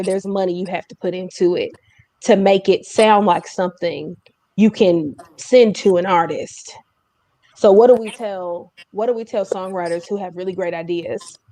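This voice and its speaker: A woman's voice: medium pitch, moderate pace, dark tone. A woman hosting a casual panel chat, summing up what was said and probing with questions. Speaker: panel host.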